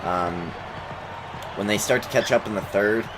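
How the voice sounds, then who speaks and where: A man giving relaxed, rambling commentary over gameplay, with a plain voice and lots of fillers and restarts. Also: lowish voice, unhurried. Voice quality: plain, unremarkable voice. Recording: game crowd noise underneath. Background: video game crowd and court sounds.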